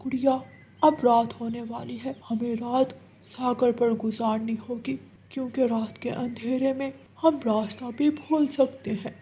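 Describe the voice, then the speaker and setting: A woman acts out a gentle uncle cloud from a children's cartoon. The speech is slow and soothing, polite and kindly, fairly high for the part. Clear background noise runs under the voice. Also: steady tone, highs cut off, close to an everyday voice. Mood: helpful. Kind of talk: Hindi children's cartoon story acting.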